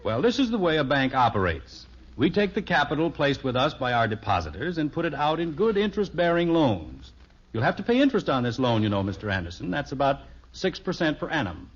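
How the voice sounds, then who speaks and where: Genial American sitcom dad, middle-aged, mock-formal and patient, very animated delivery, muffled 1950s radio sound. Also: male voice, a little high, a little fast, husky. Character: genial middle-aged father playing banker to his children.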